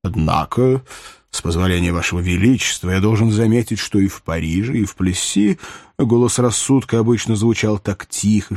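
A man's voice: fairly low pitch, darkish tone, dry recording, studio quality. Man playing a composed, courtly royal counsellor. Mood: tactfully frank. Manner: measured, courtly, deferential but frank. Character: wise, scrupulous male court counsellor, tactful and composed. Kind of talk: dramatized audiobook reading of a historical novel.

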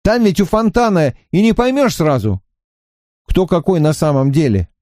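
A man's voice: fairly high pitch, big pitch swings, normal speed, mellow, no room sound, studio quality, voice pushed up. A man voicing an eager, talkative young male wizard student who speaks with earnest fervor in an audiobook reading. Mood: recalling. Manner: earnest, fervent, slightly mocking.

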